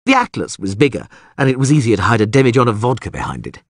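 A man's voice: medium pitch, sing-song, fast, no echo, studio quality. A man voicing a cheeky, boastful troubadour in a storybook with theatrical, playful exaggeration. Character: flamboyant, cheeky male troubadour, boastful and jovial.

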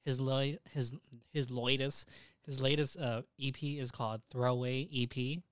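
A young man with a smooth, clean voice hosts a casual podcast. He speaks at a middling pitch in informal, slangy phrases, recorded close and dry. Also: fairly even intonation, normal speed, no echo. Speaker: podcast host.

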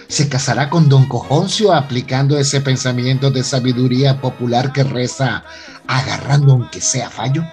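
A man's voice: mid-range voice, a little mellow. A man narrating a radio soap opera in a dramatic, scripted storytelling style.

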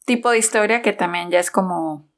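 A woman's voice: medium pitch, a little fast. A woman chatting casually as a review podcast co-host, loosely phrased and thinking aloud. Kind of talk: casual conversational film review.

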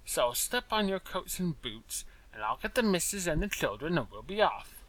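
A man voicing a brisk, impatient little male mouse in a storybook, with a small, clear, high-pitched voice and fussy, formal diction. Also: big pitch swings, fairly bright tone, no echo, low-quality recording. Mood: eager, bossy.

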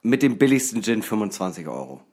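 A man co-hosting a comedy podcast, joking deadpan and hesitating with many fillers. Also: fairly low pitch, a little fast, slightly rough. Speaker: podcast co-host.

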